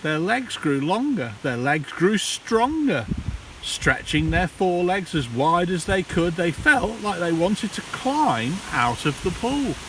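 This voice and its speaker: A man reads a storybook to children in a slow, theatrical voice that swings widely in pitch. He pauses for drama like a practised primary teacher. The recording is distant from the mic, with some hiss. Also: big pitch swings, a bit bright. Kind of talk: expressive children's storybook read-aloud. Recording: distant mic, hissy background noise.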